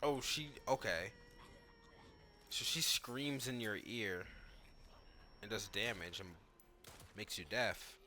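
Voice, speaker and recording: A man's voice: fairly low pitch, slow pace, pauses often, sharp tone, a little hiss or hum. A man giving casual, off-the-cuff commentary on a video game, thinking aloud in informal language and often saying "like". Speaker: gaming video creator reacting to cutscenes and gameplay.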